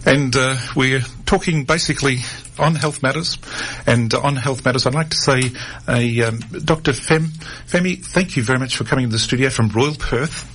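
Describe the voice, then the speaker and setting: A man's voice: medium pitch, normal speed, hardly any pauses, a bit bright, a little hiss or hum, poor audio. A man hosting a community radio health show in a studio, talking with many fillers and restarts. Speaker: radio host.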